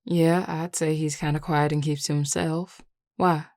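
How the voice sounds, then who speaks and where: Flirty Southern US werewolf played by a woman, low-pitched, drawling and affectionate, close dry sound, intimate audio roleplay. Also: level tone, measured pace, darkish tone, no echo. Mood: wistful, smitten. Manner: drawling, teasing, sighing, casual and affectionate. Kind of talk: intimate audio roleplay, one-sided conversation.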